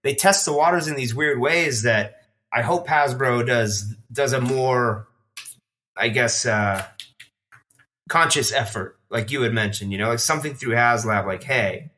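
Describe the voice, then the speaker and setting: Bright-voiced man chatting about his hobby. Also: medium pitch, a little slow, a little hiss or hum. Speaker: podcast guest, toy collector. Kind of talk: casual chat.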